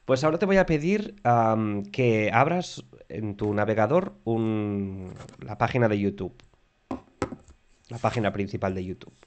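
A man's voice: fairly low pitch, a little slow, highs cut off, slight background noise. A man hosting a casual interview in Spain, playful and teasing, laughing often with his guests.

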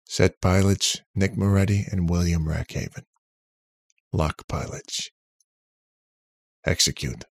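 A man voicing a practical, resigned man in an audiobook, speaking in a plain, deliberate, matter-of-fact way. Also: deep voice, creaky, dry recording, studio quality, full character voice. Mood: commanding. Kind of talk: audiobook character voice.

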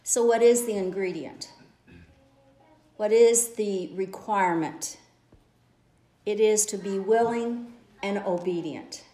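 Slow, earnest woman preaching in echoey church. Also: medium pitch, a little mellow, a little hiss or hum. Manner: earnest, exhorting with repeated phrases. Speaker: lay preacher. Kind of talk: church message. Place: church sanctuary.

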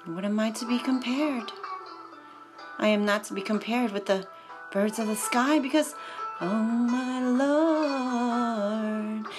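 Woman reading as a grieving childless wife praying. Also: fairly high pitch. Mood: lamenting. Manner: slow, lamenting, hushed. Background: music.

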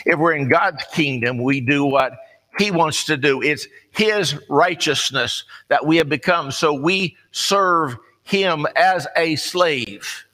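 An American man preaching a sermon in a folksy, conversational storytelling way, plain-spoken and pausing deliberately. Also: fairly high pitch, pushed and bright. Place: large reverberant room, preaching to a congregation. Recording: long hall reverb.

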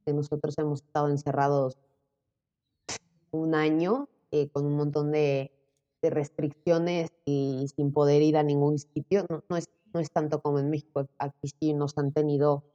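A Mexican woman in her late twenties chatting as an interview guest, animated and quick to laugh. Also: low-pitched. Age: late 20s. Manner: animated, laughing, quoting herself in lively bursts. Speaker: interview guest, content creator. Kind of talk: casual conversation. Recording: dull audio with long reverb.

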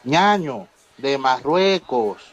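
A man's voice: medium pitch, lively intonation, extremely slow, lo-fi sound. A man playing a polite, earnest immigrant in a humorous radio soap, deferential and explanatory. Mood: humble. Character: polite, earnest Ecuadorian immigrant godfather pal.

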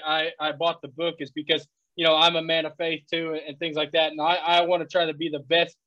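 High bright dude cohosting a sports podcast, eager, stammers a bunch. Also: male voice, dull audio. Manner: enthusiastic, stammering repetitions. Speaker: podcast co-host. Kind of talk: casual podcast chat.

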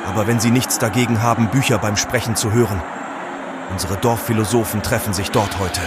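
A man's voice: on the low side, steady tone, brisk, lightly acted. A man playing a plain-spoken, helpful village local in a comic audio drama. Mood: matter-of-fact. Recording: clear outdoor traffic noise.

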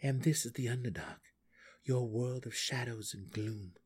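A man voicing a resolute, brooding male dark elf exile in a fantasy audiobook, speaking earnestly and formally with defiance. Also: medium pitch, steady tone, unhurried, slightly rough. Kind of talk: fantasy audiobook character acting.

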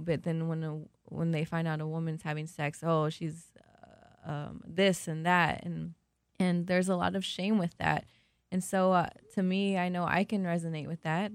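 A woman's voice: deep voice, dry recording, clean studio sound. A woman from Peru, a podcast guest, speaking openly and earnestly about her own experience, thinking aloud with frequent fillers. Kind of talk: candid personal discussion.

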